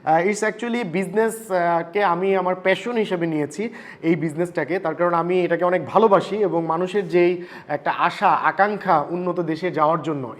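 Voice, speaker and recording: A high-voiced man with a Bangladeshi accent speaks in a casual vlog style. He is earnest and polite, and he drops English words into his talk like a consultant advising people. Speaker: vlogger and visa consultant.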